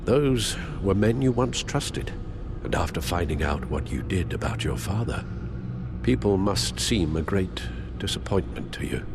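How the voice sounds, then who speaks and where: A man acting a devoted older butler in a video game drama, gentle and weighed down by sorrow. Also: low-pitched, lively intonation, measured pace, background noise. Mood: concerned.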